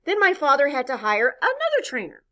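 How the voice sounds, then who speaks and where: A woman reading a children's story aloud expressively, pausing to chat with her listeners. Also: high-pitched. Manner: expressive, pauses to point things out and ask questions. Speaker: storybook reader.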